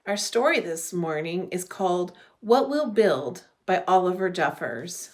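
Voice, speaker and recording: Slow-reading woman, storybook style. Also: lowish voice, expressive. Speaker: religious education director reading a children's story. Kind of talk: storybook read-aloud. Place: living room at home.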